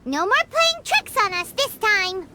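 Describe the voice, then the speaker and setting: A woman voicing a tiny, childlike fairy companion in a video game, squeaky, perky, feisty and quick to get indignant. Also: big pitch swings, heavy background noise, full character voice. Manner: perky, indignant, refers to self by name. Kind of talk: cartoonish video game character acting. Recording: game music under the voice. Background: video game music.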